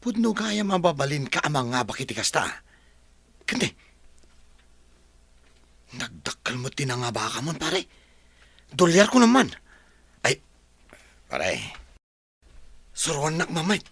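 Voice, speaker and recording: An actor with a Filipino accent playing a friendly, curious young buddy in a radio drama. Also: male voice, fairly high pitch, sing-song, a little slow, creaky, pauses often, a bit bright, husky, heavily acted. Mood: surprised.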